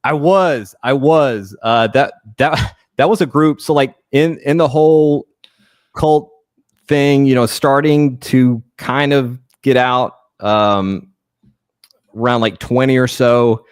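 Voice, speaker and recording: A man's voice: mid-range voice, slow pace, smooth, dry recording, studio quality. A man joining a fantasy football draft as a guest, speaking casually in a hesitant, stammering but easygoing way. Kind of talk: casual chat.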